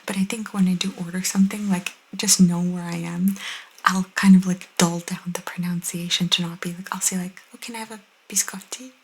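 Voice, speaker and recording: A young woman whispering softly and intimately as an ASMR host, reading and chatting casually close to the microphone. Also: on the low side, level tone, a little fast, slight background noise, low-quality recording. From Italian cultural background. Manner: soft, intimate, chatty asides.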